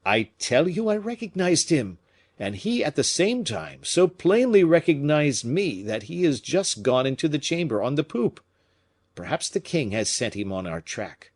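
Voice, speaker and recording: A man's voice: fairly high pitch, sing-song, unhurried, darkish tone, voice pushed up. A man reading an audiobook, voicing a nobleman's anxious, loyal aide who urges caution. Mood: urgent. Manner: urgent, pleading.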